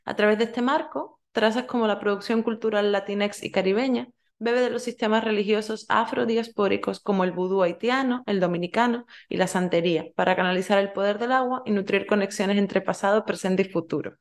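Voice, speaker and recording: A woman's voice: mid-range voice, brisk, few pauses. A woman hosting an interview, introducing her guest and asking questions, often hesitating and adding tag questions. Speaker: podcast host.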